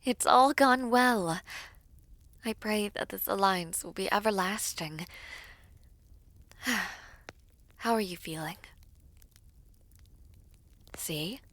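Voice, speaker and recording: A woman playing a poised, regal villainess princess in a fantasy audio roleplay, with measured, formal diction and a commanding but caring temper. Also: mid-range voice, a bit bright, dry recording. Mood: tender, reassuring.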